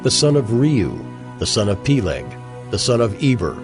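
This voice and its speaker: A man giving a scripted scripture narration in a measured, recitative manner. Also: mid-range voice, very animated. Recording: music clearly behind the voice.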